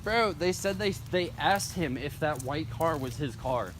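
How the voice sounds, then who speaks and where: A man's voice: on the high side, expressive, a little slow. A young American man chatting casually with friends and telling stories in slangy, profane language. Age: teens to young adult. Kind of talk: casual chat, storytelling among friends. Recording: noisy amateur recording with clear background noise.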